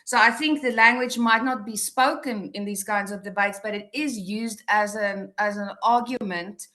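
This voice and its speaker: A woman's voice: medium pitch, moderate pace, bright, forceful tone. Woman panelist, afrikaans first language, from south africa, hesitant, fixes her words as she goes. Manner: tentative, with self-corrections. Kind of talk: academic panel discussion.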